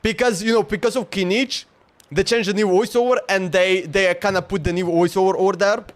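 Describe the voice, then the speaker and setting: A man's voice: high voice, fairly even intonation, a little fast. A man in his twenties with a Slovenian accent, giving casual, rambling livestream commentary on a game. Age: 20s. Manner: rambling, exclamatory.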